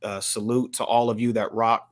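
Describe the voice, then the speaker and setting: An American man co-hosting a podcast, giving casual shout-outs in an earnest, measured way that mixes formal phrasing with slang. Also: medium pitch, flat delivery. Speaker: podcast co-host.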